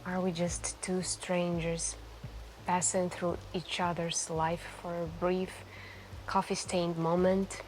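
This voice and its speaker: A woman playing a mysterious, charming stranger in a café, speaking softly and intimately in an ASMR roleplay with musing pauses. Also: lowish voice, little pitch movement, slight background noise, rough recording. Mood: reflective, inviting. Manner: slow, musing, gently flirtatious, pausing between phrases. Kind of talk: soft-spoken ASMR roleplay, intimate storytelling. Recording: voice over music and falling rain. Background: music and rain.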